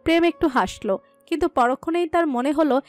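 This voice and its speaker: A woman's voice: high-pitched, fairly quick, soft background music. A woman reading scripted narration for a romantic story.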